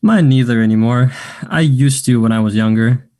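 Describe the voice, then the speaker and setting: Actor playing a confident, mellow, flirty man. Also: medium pitch, normal speed, lightly acted. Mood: teasing, forward. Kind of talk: table read of a stage play.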